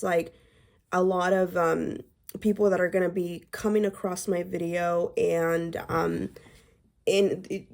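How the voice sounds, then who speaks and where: Gravelly, mellow-voiced woman vlogger. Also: medium pitch. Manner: rambling with many fillers and restarts. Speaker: YouTube creator. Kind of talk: casual vlog talk to camera.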